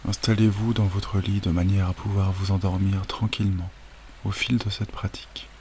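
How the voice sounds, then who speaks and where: A man guiding a sleep meditation as a yoga nidra teacher, speaking calmly and soothingly with long, unhurried pauses. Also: low voice. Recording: steady wave noise over the voice. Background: sound of ocean waves.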